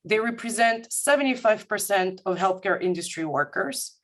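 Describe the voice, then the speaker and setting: A woman from Paris with a French accent, a guest consultant presenting formally and in a measured, articulate way to an audience. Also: medium pitch, animated, a bit bright. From France, grew up in Paris. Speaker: guest speaker, management consultant. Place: remote video-call talk.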